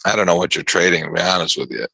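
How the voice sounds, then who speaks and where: A man hosting a live trading chat on a video call, his voice worn from yelling, rambling and stammering through repeated words. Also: deep voice, very fast, no echo. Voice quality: gravelly and husky. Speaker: trading show host.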